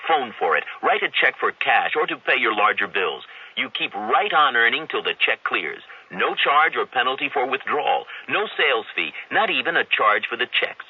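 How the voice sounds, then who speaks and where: Husky male radio ad announcer, lively intonation. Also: mid-range voice, normal speed, hardly any pauses. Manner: polished, persuasive sales delivery. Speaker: commercial announcer. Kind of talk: scripted radio advertisement. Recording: tinny, phone-like old radio recording.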